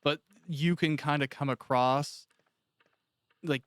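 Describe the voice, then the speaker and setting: A man's voice: on the high side, fairly even intonation, takes it slowly, fairly bright tone, clean tone, dry recording. A man hosting a casual, conversational interview as the interviewer. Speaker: interviewer, director.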